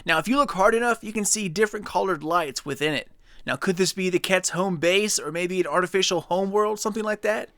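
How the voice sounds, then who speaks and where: A young man casually breaking down a video game trailer for his viewers, chatty and excited. His voice is bright, smooth and slightly high. The close mic sounds dry, with no room behind him. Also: fairly quick, dry recording. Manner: enthusiastic, chatty, speculative. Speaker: gaming channel host.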